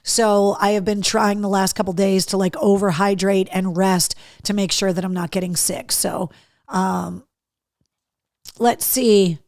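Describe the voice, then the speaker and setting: A middle-aged woman hosting a live show, chatting casually and candidly with viewers in a slightly hoarse voice, with a cheeky streak. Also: medium pitch, steady tone, a little slow, a little mellow, no echo, studio quality. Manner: candid, cheeky, mildly sweary. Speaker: podcast and livestream host. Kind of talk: casual live chat to viewers, reading out comments.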